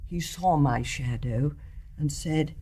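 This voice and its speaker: A husky older British mother, grave. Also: low voice, takes it slowly, dark tone. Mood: grave, reflective. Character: grave, resolute older English mother confessing her deed. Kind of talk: radio drama acting.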